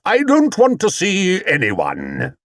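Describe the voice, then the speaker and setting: A pompous, theatrical stage actor with a booming, dramatic voice, put on by a male storyteller. He swings wide and loud, gruff and grand by turns. Close, dry reading. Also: wildly swooping pitch, slow, dry recording, full character voice.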